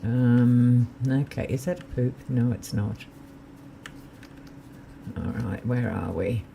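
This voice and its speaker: A man with a slightly croaky voice, chatting casually and thinking aloud while he live-streams a game. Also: medium pitch, slow pace, warm tone, slight background noise.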